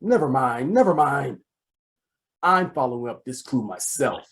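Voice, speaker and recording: A man playing a brusque, exasperated, wisecracking police detective in an old-time radio comedy mystery, gruff and sardonic. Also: medium pitch, big pitch swings, measured pace. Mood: impatient. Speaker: voice actor.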